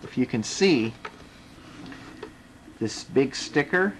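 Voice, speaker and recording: An American man giving a casual, unscripted demonstration, explaining step by step in a halting way. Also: mid-range voice, lively intonation, low-quality recording. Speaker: video presenter demonstrating a radio. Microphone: camera microphone. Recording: faint background noise, home camera recording.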